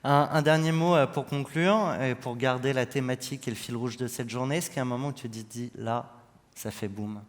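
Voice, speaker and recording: A man's voice: mid-range voice, a little fast. Male event host in a reverberant hall. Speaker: host, interviewer. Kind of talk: hosting an on-stage interview. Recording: echoey hall, long reverb.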